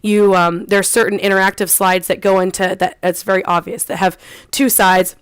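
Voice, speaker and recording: Chatty young woman, smooth dry voice. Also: mid-range voice, steady tone, brisk, dry recording. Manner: chatty, frequent ums and restarts; laughs lightly between phrases. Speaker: online English teacher. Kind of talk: casual vlog chat.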